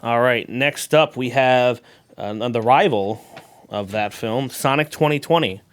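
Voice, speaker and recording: A man's voice: medium pitch, unhurried, a little hiss or hum. A man chatting on a panel in a wry, conversational manner. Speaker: talk show panelist. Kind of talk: casual chat.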